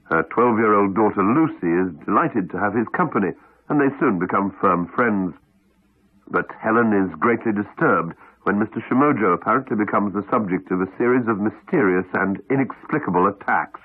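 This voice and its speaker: A British man making scripted radio continuity announcements in a measured, formal way. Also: fairly low pitch, no echo. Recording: dull 1980s radio broadcast, dry, faint music.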